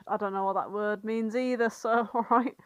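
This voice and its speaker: A woman's voice: mid-range voice, steady tone, moderate pace, clean tone, no room sound, muffled recording. A woman co-hosting a podcast, retelling fan fiction in a giggly, sweary, informal comedic chat. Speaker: podcast co-host.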